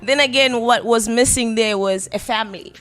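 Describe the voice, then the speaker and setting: A woman's voice: a little high, normal speed, fairly bright tone. A young adult woman raised in Durban, a guest rapper talking casually and animatedly on a podcast, swearing freely. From Tanzania, raised in Durban, South Africa.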